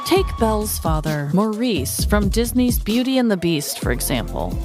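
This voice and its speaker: A woman co-hosting a podcast, telling stories in an informal, expressive way. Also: mid-range voice, normal speed, over music. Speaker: podcast co-host. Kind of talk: casual chat and scripted storytelling.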